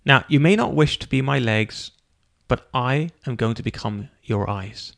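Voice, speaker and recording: A man voicing a cheerful, eager elderly man in a wheelchair, talking in excited, pleading bursts. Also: mid-range voice, measured pace, vocal fry. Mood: coaxing.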